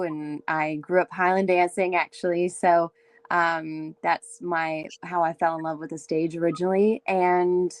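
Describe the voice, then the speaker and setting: Raspy young woman, chatty guest. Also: lowish voice, no room sound. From Windsor, Ontario, Canada. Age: young adult. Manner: chatty, many fillers, laughs easily. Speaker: singer-songwriter guest. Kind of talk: casual interview chat.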